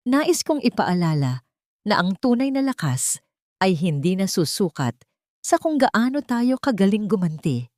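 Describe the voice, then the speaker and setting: A woman narrating a dramatic story in an earnest, sentimental, measured way. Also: medium pitch, very animated, a little mellow, no echo, studio quality.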